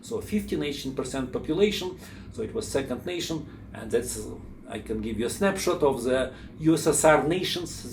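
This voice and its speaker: Man rambling in an echoey room. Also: on the high side. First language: Russian. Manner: rambling, many fillers and restarts. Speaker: channel host. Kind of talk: informal explainer talk. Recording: echoey room, faint background hum.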